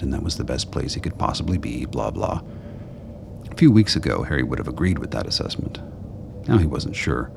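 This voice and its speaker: A man with a very deep, gravelly voice reading scripted narration for a radio play. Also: background noise.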